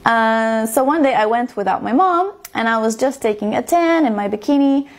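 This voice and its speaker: Creaky-voiced young woman from Estonia. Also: on the high side, expressive, moderate pace. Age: 20s. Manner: chatty, emphatic repetition of words. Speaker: video blogger. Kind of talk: casual personal storytelling to camera.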